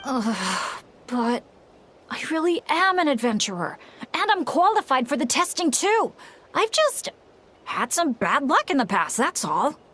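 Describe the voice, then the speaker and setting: High-voiced eager teenage boy. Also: sing-song, unhurried, fairly bright tone, slight background noise, heavily acted. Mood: earnest, frustrated. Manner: earnest, eager, boyish. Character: Bennett, earnest, eager, unlucky teenage boy adventurer. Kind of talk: animated video game voice acting. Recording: faint background music.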